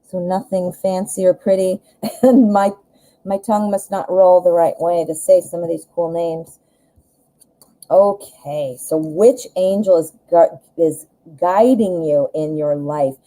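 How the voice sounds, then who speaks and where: A woman's voice: on the low side, fairly even intonation, measured pace, warm tone, poor audio. A woman with a Midwestern American accent giving a casual live tarot reading, conversational and self-deprecating, often rephrasing mid-thought. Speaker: tarot reader, live show host.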